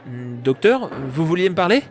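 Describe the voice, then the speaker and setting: A man's voice: a little high, sing-song, normal speed, soft background music. A man playing a determined, thoughtful young man in a scripted audio drama. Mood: worried. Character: determined young man telling and living his story. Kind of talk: scripted audio drama, narration and muttering to himself.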